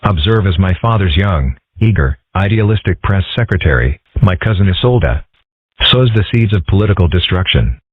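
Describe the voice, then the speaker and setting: Man playing low, husky deadpan young fox. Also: normal speed, no room sound. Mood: formal, dry. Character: young male fox, calm, erudite, deadpan narrator. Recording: muffled phone-like lo-fi audio.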